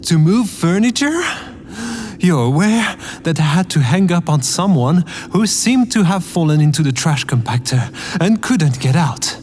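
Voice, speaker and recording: A man's voice: fairly high pitch, lively intonation, measured pace, a little mellow, slight background noise. A man playing a naive, obedient spaceship operator in a sci-fi comedy audio drama, literal-minded, hesitant and earnest. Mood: put-upon.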